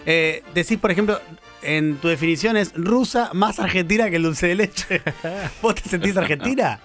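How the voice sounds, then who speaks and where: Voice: medium pitch, moderate pace, a bit bright. A chatty radio host with a Rioplatense accent talks to a guest in the studio and laughs easily. Faint music runs underneath. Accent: Rioplatense Spanish. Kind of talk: radio interview.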